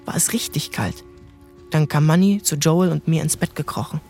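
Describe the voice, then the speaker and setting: An actor playing a grown son recalling his wild boyhood, narrating a literary radio play in measured, incantatory short phrases. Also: on the high side, vocal fry, a little mellow. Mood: urgent, vivid. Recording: music behind the voice.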